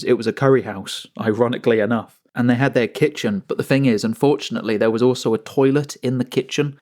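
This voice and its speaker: A man hosting his own channel, talking slowly through an unscripted commentary with asides and frequent restarts. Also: mid-range voice, darkish tone. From England. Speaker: channel host.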